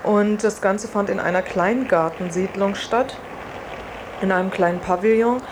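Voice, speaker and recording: Woman reporter just telling what happened to her, normal pitch, bit of background noise. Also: moderate pace. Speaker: reporter and test participant. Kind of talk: informal first-person account. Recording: faint background ambience and noise.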